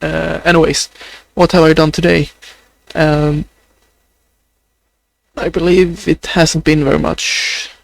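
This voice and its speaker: Swedish guy rambling in his video diary, flat halting voice that sounds kinda sad, lots of uhs. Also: on the high side, gravelly, no room sound, poor audio. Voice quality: sounds sad or close to crying. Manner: hesitant, many fillers, slow and halting. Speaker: video journal creator.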